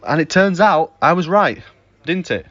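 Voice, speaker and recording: A man's voice: on the high side, animated, moderate pace, a bit bright. Opinionated young man actor, muffled lo-fi sound. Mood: smug, insistent. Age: young adult. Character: opinionated man, smugly sure he is right. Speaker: student actor. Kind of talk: audio drama dialogue.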